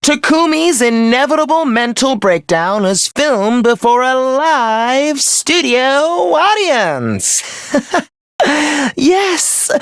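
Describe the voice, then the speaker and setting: Smug, unhinged young villain, wildly swinging pitch. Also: male voice, fairly bright tone, no echo, studio quality, exaggerated voice. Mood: gleeful taunting. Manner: taunting, theatrical, mock-cheerful. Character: sadistic young male villain, smug, unhinged, obsessive, mockingly polite. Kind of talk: theatrical video game voice acting.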